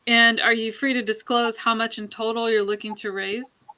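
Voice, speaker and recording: A woman's voice: fairly high pitch, little pitch movement, normal speed, sharp tone. A woman interviewer asking conversational questions, opening with an okay and restating details to confirm them. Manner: acknowledges with okay, then restates and checks details.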